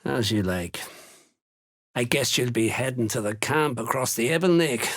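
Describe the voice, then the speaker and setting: An actor playing a gruff, menacing cult slaver in a video game, with a rough voice and a curt, contemptuous manner. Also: male voice, mid-range voice, lively intonation, normal speed. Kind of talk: video game character dialogue.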